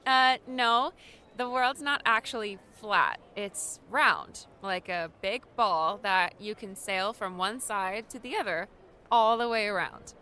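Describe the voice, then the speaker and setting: A woman's voice: fairly high pitch, slow, bright, forceful tone. A woman voicing a playful, friendly young girl in an audiobook, chatty and explanatory. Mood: amused, playful.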